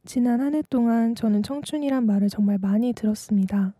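Young woman, 20, late-night radio DJ; gentle, reflective scripted delivery, deep mellow timbre, dry sound. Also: fast, no echo.

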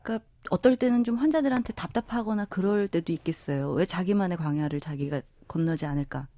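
A woman's voice: lowish voice, fast, warm tone, no echo. A woman hosting a conversational radio interview, attentive and empathetic as she responds to her guest. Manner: attentive, empathetic, frequent backchannels. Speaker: radio host.

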